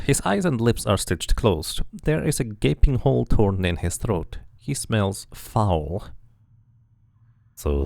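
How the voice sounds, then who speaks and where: A man's voice: on the low side, creaky, darkish tone, dry recording, studio quality. A man streaming a video game, reading its text aloud like a narrator and muttering casual asides to himself.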